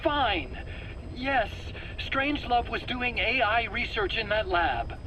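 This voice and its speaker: High-pitched stammering cowardly scientist. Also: male voice, animated, slow pace, lo-fi sound, exaggerated voice. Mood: defensive pleading. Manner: stammering, pleading, whiny. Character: nervous, defensive, cowardly male scientist under interrogation. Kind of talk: video game cutscene acting. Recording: faint background noise.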